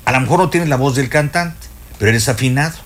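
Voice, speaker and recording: A man's voice: medium pitch, quick pace, a bit bright, a little hiss or hum. An older man with a Mexican accent, chatting as a music expert on a radio show, telling stories with many fillers and restarts. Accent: Mexican Spanish. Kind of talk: casual radio chat. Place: radio studio.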